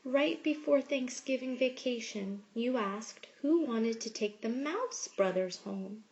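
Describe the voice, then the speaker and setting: A woman reading a picture book aloud like a teacher, with expressive, measured storytelling. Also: on the high side, dull audio. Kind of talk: storybook read-aloud narration.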